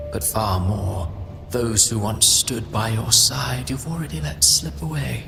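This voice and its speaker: A man playing a cold, fatalistic villain in a video game, delivering portentous, theatrical taunts. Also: mid-range voice. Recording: voice over clear background music.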